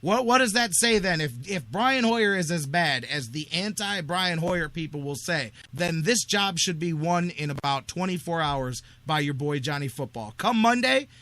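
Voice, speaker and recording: Male sports talk host. Higher-than-average pitch, very forceful tone, argumentative and emphatic. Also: expressive, normal speed, hardly any pauses, no echo. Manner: emphatic, argumentative, repeats phrases for stress. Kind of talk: sports talk radio debate.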